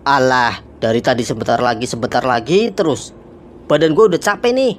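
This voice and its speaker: A man's voice: mid-range voice, a little fast, a little hiss or hum, lightly acted. A man voicing a grumbling, impatient young travel buddy in a cartoon, with blunt, slangy Jakarta Indonesian. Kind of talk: colloquial cartoon dialogue.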